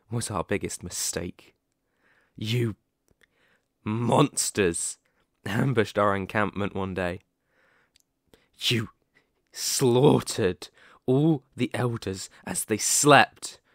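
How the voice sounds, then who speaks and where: A menacing werewolf , acted out by a man, speaks right up close in a dry, intimate ASMR roleplay. He takes his time, leaving long pauses between phrases. He is sadistic and possessive, with an old wound underneath the threat. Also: on the low side, a bit bright, no room sound, exaggerated voice. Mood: bitter, anguished. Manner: slow, menacing, with drawn-out pauses. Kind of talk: ASMR roleplay, dramatic monologue.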